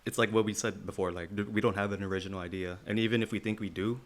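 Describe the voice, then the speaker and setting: A man's voice: fairly low pitch, fairly even intonation, racing. A man chatting casually as a podcast host, slangy and prone to swearing. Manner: slangy, peppered with "you know what I mean", swears freely. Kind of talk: casual chat.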